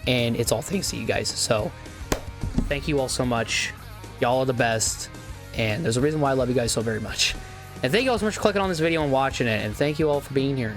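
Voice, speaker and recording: A young man with a hoarse, worn voice, talking in an earnest, rambling way with stumbles and restarts. He is wrapping up a sim racing commentary, and his pitch sits in the middle range. Soft guitar music plays quietly behind him. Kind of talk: casual outro after play-by-play commentary.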